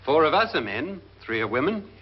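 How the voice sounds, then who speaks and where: A man's voice: fairly high pitch, sing-song, fairly quick, breathy edge. An actor playing a skeptical doctor in a 1950s horror film, wry, educated and dismissive in his delivery. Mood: dry, amused. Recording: dull, noisy old film soundtrack.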